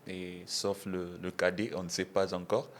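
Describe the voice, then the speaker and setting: A young man from Kinshasa, a gospel artist answering interview questions in a measured way, often saying voilà. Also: low-pitched, little pitch movement. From Kinshasa, DR Congo. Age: young adult.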